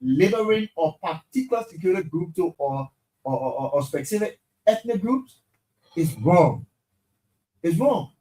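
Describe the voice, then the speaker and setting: A man's voice: a little high, normal speed, rough recording. Male co-host, conversational, stacks up repeated words for emphasis. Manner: animated, repeats words when he gets emphatic. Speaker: co-host of a night talk show. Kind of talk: casual studio chat and reading aloud.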